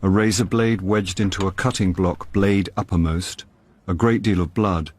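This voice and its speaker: A man's voice: low voice, steady tone, moderate pace, darkish tone, dry recording. A British actor playing a wry, weary middle-aged man in a radio drama, with a dry, self-deprecating manner. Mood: wry narration. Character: wry, weary, hapless middle-aged male actor turned amateur sleuth. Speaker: narrator and lead actor. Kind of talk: radio drama acting and first-person narration. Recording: radio drama with background noise and ambience.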